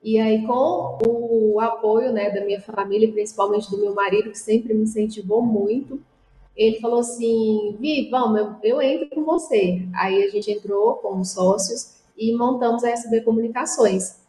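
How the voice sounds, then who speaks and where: A woman's voice: fairly high pitch, steady tone, normal speed, warm tone. A young woman, a former TV reporter, answering interview questions in a reflective, conversational way. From Luziânia, Goiás, Brazil. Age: young adult. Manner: reflective, with frequent "e aí" and "assim". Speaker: guest, former TV reporter, communications business owner. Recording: echoey room.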